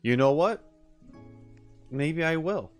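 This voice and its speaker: A man's voice: fairly high pitch, animated, slow. Man chatting casually on a livestream over music. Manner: easygoing, rambling, thinking aloud. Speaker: live streamer. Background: background music.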